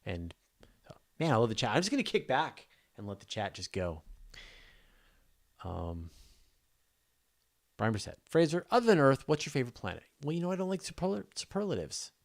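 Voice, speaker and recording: A man's voice: medium pitch, big pitch swings, moderate pace, vocal fry, long pauses. A man hosting a live astronomy question show, chatting casually and off the cuff with informal enthusiasm.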